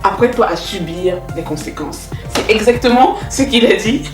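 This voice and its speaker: A young woman telling stories in a casual, animated, teasing and slangy way, like an online host. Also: medium pitch, moderate pace. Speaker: YouTube host telling K-pop gossip. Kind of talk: casual chatty storytelling with commentary. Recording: roomy echo, distant mic, music behind.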